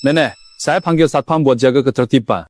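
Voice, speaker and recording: Man acting a brisk, firm company manager. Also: medium pitch, close to an everyday voice. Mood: firm, formal.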